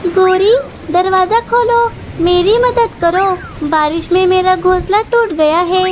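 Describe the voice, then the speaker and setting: महिला द्वारा निभाई बहुत ऊँची आवाज़ वाली विनम्र चिड़िया. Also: female voice, very high voice, takes it slowly, background noise. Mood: feigned innocence. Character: helpful, quietly clever female songbird in a children's cartoon. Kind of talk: Hindi children's cartoon dialogue.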